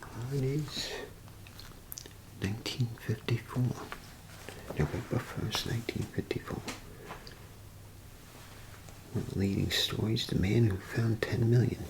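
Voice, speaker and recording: A man playing an unhurried pawn shop owner in an ASMR role play, muttering haltingly and methodically to himself in a soft, breathy whisper. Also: noisy background, lightly acted. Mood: curious. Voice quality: soft, breathy whisper with low creaky murmur. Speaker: ASMR performer.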